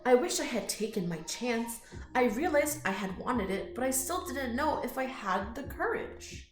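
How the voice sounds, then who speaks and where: Higher-voiced woman reading storytime in a roomy space. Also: normal speed, soft background music. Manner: expressive, inviting, addresses listeners as friends. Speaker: children's storytime reader. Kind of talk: read-aloud picture book with chatty asides to children. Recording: roomy echo.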